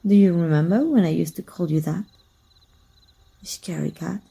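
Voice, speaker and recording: A woman playing a teasing, wistful and flirty female vampire hunter with a breathy, husky voice in an intimate ASMR roleplay. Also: fairly low pitch, exaggerated voice. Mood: taunting, flirty. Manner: slow, teasing, softly taunting.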